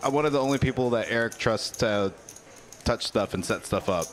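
A man's voice: medium pitch, brisk, a little hiss or hum. A man producing and co-commenting on a live poker stream, talking in a rambling, chatty way and addressing viewers in chat from a production booth.

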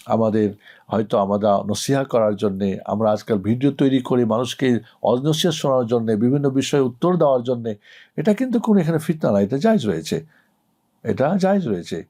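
A man's voice: mid-range voice, warm tone. A middle-aged man speaking as an Islamic cleric, giving a didactic, emphatic religious answer to a question. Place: indoor studio set.

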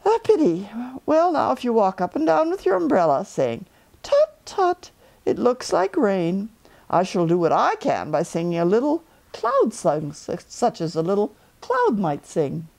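A woman voicing an earnest, anxious little toy bear in a children's storybook, speaking in deliberate, slightly pompous phrases. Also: medium pitch, wildly swooping pitch, gravelly, darkish tone, exaggerated voice. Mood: anxious, practical. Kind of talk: children's storybook read aloud.

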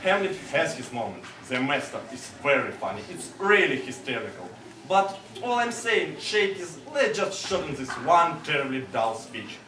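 An actor playing a pushy theatre producer who coaxes and flatters. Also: male voice, fairly high pitch, moderate pace. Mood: coaxing. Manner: wheedling and persuasive. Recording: echoey, distant and noisy.

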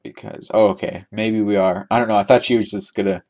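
Young male game host, lowish voice, loose rambling commentary with laughs, desk mic. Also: steady tone, brisk, a little mellow. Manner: chatty, rambling, laughs often, thinks aloud. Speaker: trivia giveaway host. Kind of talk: casual gameplay commentary.

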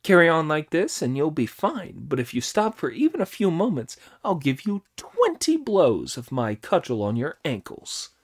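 A man voicing a brash, cheeky monkey king who talks high and loud in an audiobook. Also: wildly swooping pitch, normal speed, few pauses, heavily acted. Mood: mocking. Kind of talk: audiobook character voice.

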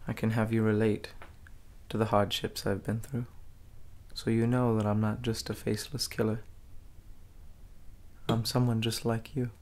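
A young man performing an ASMR role play as an arrogant, menacing gang leader, speaking in a slow, deliberate, hushed way. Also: lowish voice, level tone. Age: young adult. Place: small dim room indoors.

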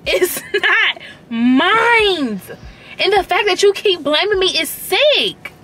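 Very high-pitched, insistent young American woman. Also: expressive, slow, bright, forceful tone, a little hiss or hum. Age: 20s. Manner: accusing, insistent, repetitive. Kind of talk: heated casual argument. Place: inside a parked car. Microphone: camera on the dashboard.

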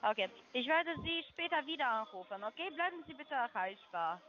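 A call center agent making a sales call in halting, full of fillers. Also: high-pitched, big pitch swings, pushed and bright. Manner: hesitant, halting, many fillers. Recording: muffled phone line.

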